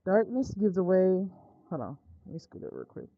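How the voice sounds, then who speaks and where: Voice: medium pitch, huge pitch swings, normal speed, warm tone, no echo, dull audio. An American streamer of indeterminate-sounding sex talking informally to viewers, muttering and swearing in a casual live-stream style. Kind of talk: casual live game stream chat with read-aloud visual novel text.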